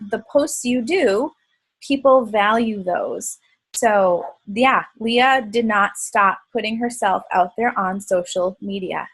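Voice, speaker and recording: A woman's voice: on the high side, fairly bright tone, breathy edge, rough recording. Upbeat woman leading a video call. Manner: upbeat, praising, quick asides. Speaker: team leader hosting a video call. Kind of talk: encouraging team call chat.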